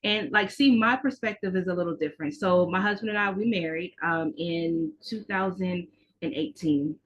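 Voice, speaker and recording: Low-voiced woman host on dull audio. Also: moderate pace. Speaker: podcast host. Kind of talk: casual conversational interviewing. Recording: dull, band-limited call-like audio.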